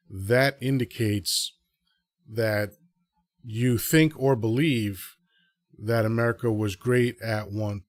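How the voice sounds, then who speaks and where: Male podcast host, fairly low voice, rambling and earnest, dry close recording. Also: no room sound. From Dominican Republic. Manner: rambling, self-correcting, earnest. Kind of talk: casual solo commentary to a live audience.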